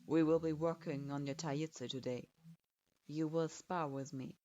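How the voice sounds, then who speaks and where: A woman's voice: low-pitched, fairly even intonation, normal speed, a little mellow, no echo, voice pushed down, natural voice. A woman voicing a harsh male ninja instructor who speaks coldly and with derision, recorded with a dull lo-fi sound. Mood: curt. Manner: cold, derisive, stern.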